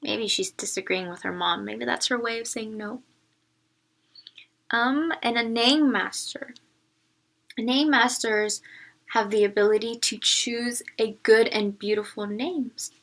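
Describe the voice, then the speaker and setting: A woman teacher reading a picture book aloud, narrating and pausing to explain it to young listeners. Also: a little high, steady tone, vocal fry, a bit bright, low-quality recording.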